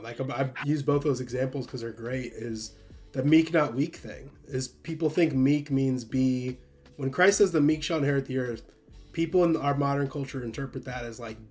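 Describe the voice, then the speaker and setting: A man hosts a casual chat, often agreeing with his guest and chuckling as he adds his own points. His voice is mid-pitched, and faint music plays underneath. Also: a little mellow. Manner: affirming, quick to agree and chuckle. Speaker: streamer hosting a guest. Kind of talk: casual conversation.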